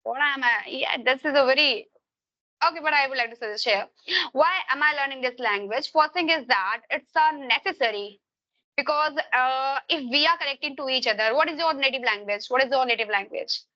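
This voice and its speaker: A woman's voice: sits high, brisk, sharp tone, lo-fi sound. A woman from Uttar Pradesh with an Indian accent, a Hindi speaker, teaching English and chatting at length on a call with frequent grammar slips. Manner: long searching answers with frequent grammar slips. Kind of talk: casual video-call conversation.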